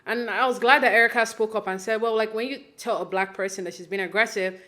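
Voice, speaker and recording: A young woman from Nigeria talks through a reality show recap in a loose, chatty way. She leans on fillers, restarts her sentences, and slips into little acted-out quotes. Her voice sits at an ordinary middle pitch. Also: fairly even intonation, fast, sharp tone. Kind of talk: casual recap commentary.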